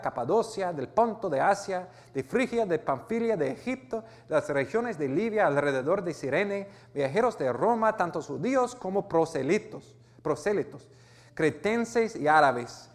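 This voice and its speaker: Pastor with an American accent, slightly higher voice than most men, earnest, stumbling through some words, with a long hall echo. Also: male voice. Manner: earnest, with restarts and self-corrections. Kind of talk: sermon, reading and explaining scripture.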